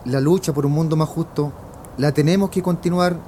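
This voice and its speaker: A man's voice: on the high side, brisk, dark tone, a little hiss or hum, close to an everyday voice. A man acting a determined, idealistic young student leader in a radio drama, colloquial, with a Chilean accent. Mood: earnest, reassuring. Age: young adult. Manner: colloquial, earnest.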